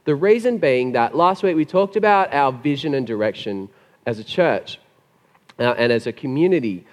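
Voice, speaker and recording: A man preaching a sermon with a gravelly, hoarse voice after being sick, chatty and joking. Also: mid-range voice, lively intonation, moderate pace. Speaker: pastor. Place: church hall. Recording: long hall reverb.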